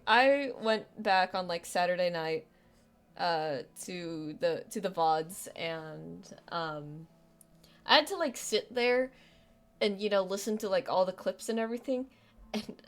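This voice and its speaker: A young woman with a youthful, girlish voice, chatting casually on a live stream, rambling and self-deprecating with lots of restarts and fillers. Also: medium pitch.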